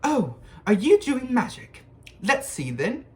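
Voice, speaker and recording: A reader voicing a bossy, fast-talking schoolgirl know-it-all in a storybook reading, pitching her high and rushing through her words. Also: wildly swooping pitch. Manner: rapid, eager, pitched high.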